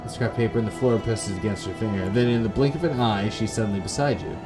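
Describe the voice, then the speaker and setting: A man's voice: on the low side, fast talker, music in the background. A man from Canada reading visual novel narration aloud expressively, with casual gamer reactions. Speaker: gaming video host.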